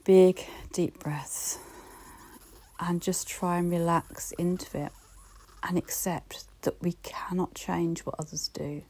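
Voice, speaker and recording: A woman with a British accent giving a reflective solo podcast talk, gently encouraging and speaking straight to the listener. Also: lowish voice, fairly even intonation, slow pace, whispered. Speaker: podcast host.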